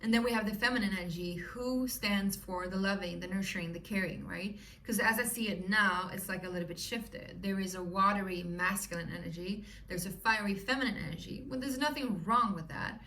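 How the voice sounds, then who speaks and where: A woman's voice: medium pitch, fairly quick, hardly any pauses, bright, forceful tone, far from the mic, a little hiss or hum. A woman from Sweden with a Swedish accent, chatting casually through a tarot reading for her viewers and often asking "you know?" and "right?". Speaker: tarot reader.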